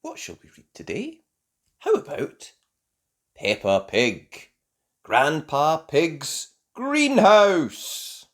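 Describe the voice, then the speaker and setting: Slow, very expressive children's storyteller. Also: mid-range voice. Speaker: storyteller and channel host. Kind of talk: children's story read aloud, expressive narration.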